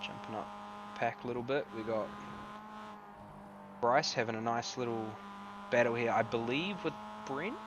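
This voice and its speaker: A man's voice: mid-range voice, expressive, moderate pace, creaky, long pauses. A man with a New Zealand accent giving casual, rambling live commentary on an online car race. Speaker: sim racing commentator. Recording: distant mic with noise and hum.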